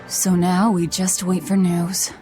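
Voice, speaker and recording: A woman's voice: lowish voice, completely flat delivery, slow, dark tone, soft background music. A woman playing a cold young female fugitive in a game, with a curt, blunt manner. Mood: guarded. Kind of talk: dramatic game voice acting.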